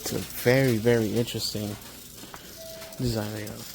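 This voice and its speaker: A man giving casual, halting vlog commentary like an absorbed hobbyist as he walks around a large store. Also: fairly low pitch, level tone, creaky. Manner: halting, absorbed enthusiast's narration. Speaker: amateur vlogger. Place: large home improvement store, indoors. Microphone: handheld phone while walking. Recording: store ambience, noticeable background noise.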